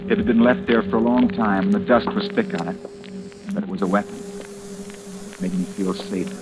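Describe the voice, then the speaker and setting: An actor playing a haunted fugitive who narrates his own story gravely and deliberately in an old radio drama. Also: lowish voice, steady tone, lightly acted, low-quality recording. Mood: tense. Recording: old radio recording with music behind.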